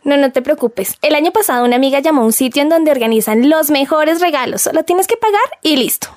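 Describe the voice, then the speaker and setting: Woman doing bubbly pushy colombian bestie, super high voice, radio soap. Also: fast, a little mellow, close to an everyday voice. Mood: reassuring. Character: bubbly, pushy young female best friend.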